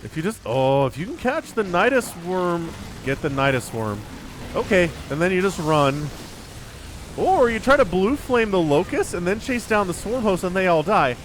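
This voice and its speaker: A man giving casual, chatty live commentary on a video game as a shoutcaster, a bit sniffly with a cold. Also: high voice, sing-song, moderate pace, slight background noise. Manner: chatty, informal, slangy asides. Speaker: esports shoutcaster. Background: music.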